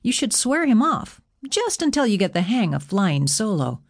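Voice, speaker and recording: A woman's voice: mid-range voice, big pitch swings, moderate pace, voice pushed up. A woman voicing an affectionate, teasing young male god in an audiobook, with a laid-back, reassuring manner. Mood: coaxing.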